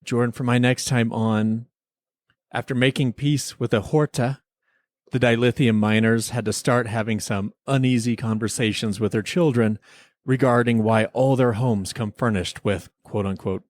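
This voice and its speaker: A man's voice: medium pitch, steady tone, moderate pace, darkish tone, no room sound, clean studio sound. A man hosting a podcast in a casual, joking review-chat style. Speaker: podcast host.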